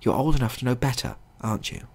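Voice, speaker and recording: A man's voice: mid-range voice, fast, vocal fry, a little mellow, husky. A man voicing a fussy, polite male substitute teacher in a short story, hesitant and proper in his manner. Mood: tentative scolding.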